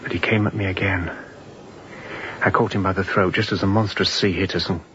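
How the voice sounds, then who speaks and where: An actor playing an exhausted, intense, unrepentant fugitive chief mate in a radio drama, speaking in clipped, grim sentences. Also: male voice, low voice, fairly even intonation, brisk, bright, forceful tone, muffled recording. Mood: bitter, intense. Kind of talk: radio drama acting, confessional storytelling.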